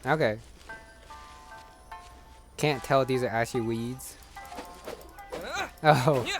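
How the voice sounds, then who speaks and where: A man's voice: mid-range voice, slow. A man giving casual gameplay commentary, muttering to himself as he plays. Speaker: gamer. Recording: clear game music behind.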